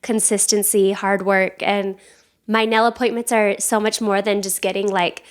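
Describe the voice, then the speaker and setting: A woman with a medium-pitched voice hosts a podcast. She speaks in a casual, conversational interview style. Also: flat delivery, normal speed, smooth. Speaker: podcast host.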